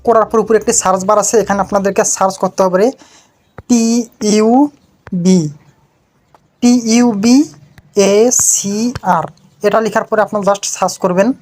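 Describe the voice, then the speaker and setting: A woman's voice: medium pitch, steady tone, moderate pace, long pauses, a little mellow. A woman narrates a step-by-step tech tutorial as its host, in an instructional, repetitive way.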